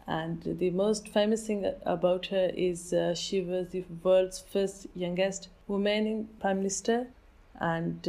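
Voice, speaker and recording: A woman's voice: medium pitch, mellow. A woman from Pakistan, an adult language student, hosting a podcast and reading a prepared talk with careful pacing and some hesitations. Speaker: ESOL student and podcast host.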